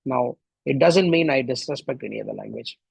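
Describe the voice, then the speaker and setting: A man's voice: medium pitch, expressive, fairly quick, gravelly, a little mellow, slightly rough, no echo. A middle-aged man from India with an Indian accent and Tamil as his first language, giving a reflective solo podcast monologue full of rhetorical questions. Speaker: podcast host.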